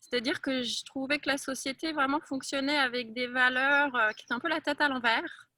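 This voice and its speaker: Woman from france, taoist teacher, frank and emphatic, bright voice, dry sound. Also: high-pitched, steady tone, a little fast, no room sound. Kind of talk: reflective interview talk.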